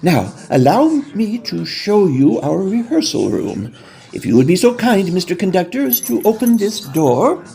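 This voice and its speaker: A woman's voice: mid-range voice, sing-song, moderate pace, creaky, mellow. A woman playing a showy opera company manager in a period farce, making grand, formal announcements. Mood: brisk, formal. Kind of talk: period audio drama farce. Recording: music behind the voice.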